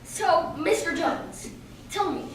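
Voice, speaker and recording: A woman's voice: very high-pitched, slow pace. A woman playing a polite, methodical male detective in an amateur radio play. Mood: inquiring. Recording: very echoey room, distant mic, faint hiss.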